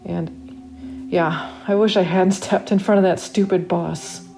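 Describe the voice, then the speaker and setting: A woman's voice: on the low side, steady tone, slow, creaky, mellow. A woman reading a first-person horror story as a trapped accident victim, in a dramatic, confiding inner monologue. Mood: wry, musing. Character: trapped narrator waking after accident, wry then panicked. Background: original music.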